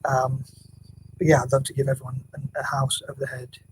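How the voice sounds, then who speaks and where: Quiet, mumbling man rambling in a monotone. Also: mid-range voice, brisk, slight background noise, poor audio. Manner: hesitant, mumbling, many restarts and fillers; often drops to a near whisper. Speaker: vlogger. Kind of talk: rambling casual vlog monologue. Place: home room, building work next door.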